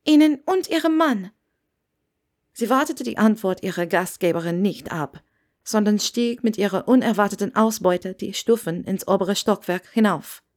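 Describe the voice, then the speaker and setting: A woman's voice: mid-range voice, moderate pace, darkish tone. Woman narrating a story. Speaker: narrator reading fan fiction.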